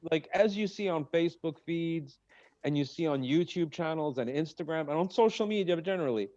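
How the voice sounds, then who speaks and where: A man working as a stand-up comedian, talking in a loose, rambling interview style that builds into animated rants. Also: fairly high pitch, brisk, dull audio. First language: English. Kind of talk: conversational interview. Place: in Egypt.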